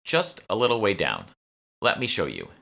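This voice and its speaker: A man's voice: on the low side, huge pitch swings, normal speed, gravelly, pushed and bright, pitched above the performer's own voice, heavily acted. A man voicing a polite, earnest, easily flustered woman curator in an audiobook. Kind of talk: audiobook character dialogue.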